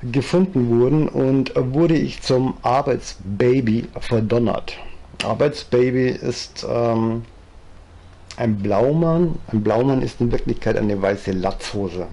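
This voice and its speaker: A man in his fifties with a strong Bavarian accent, chatting from an armchair into a distant webcam in a low-quality home recording. His voice is gravelly and dark, a little husky, and he rambles from one thought to the next with plenty of fillers. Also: slow pace. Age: 50s. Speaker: vlogger. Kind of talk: casual storytelling monologue, reading aloud. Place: living room, seated in armchair.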